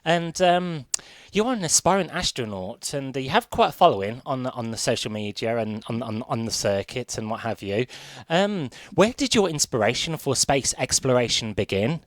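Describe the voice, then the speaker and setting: Male British radio presenter, medium pitch, conversational interviewer with a halting, word-repeating delivery, recorded very dry. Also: animated, dry recording. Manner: hesitant, stammering word repetitions.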